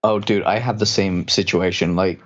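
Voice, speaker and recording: Male guest, transatlantic accent with hard R's, very low voice, casual chatty delivery, muffled lo-fi audio. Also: steady tone, moderate pace. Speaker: copywriter and voice actor guest. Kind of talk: casual podcast chat.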